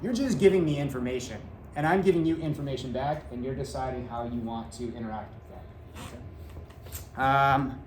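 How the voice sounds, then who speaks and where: A man's voice: mid-range voice, normal speed, slight background noise. Male teacher, 40s, earnest parent-night talk with fillers; echoey classroom, camera mic. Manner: earnest, gestures a lot, many "uh" fillers. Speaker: seventh grade teacher. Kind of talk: back-to-school talk to parents. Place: classroom in front of a whiteboard. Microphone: fixed camera at a few meters.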